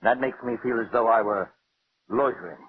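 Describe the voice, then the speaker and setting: An actor playing a bitter, taunting wealthy husband resigned to death in a theatrical 1940s radio drama style. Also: male voice, mid-range voice, a little hiss or hum, close to an everyday voice. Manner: theatrical, sardonic, deliberate. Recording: muffled old radio recording with music.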